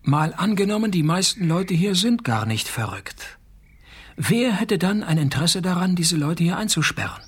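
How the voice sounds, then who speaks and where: Sardonic private eye, husky voice, slightly high pitch, deadpan, laconic hard-boiled radio drama. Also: male voice, normal speed. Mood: sardonic, probing. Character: wry, deadpan male private detective narrating his own case. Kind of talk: hard-boiled detective radio play narration and dialogue.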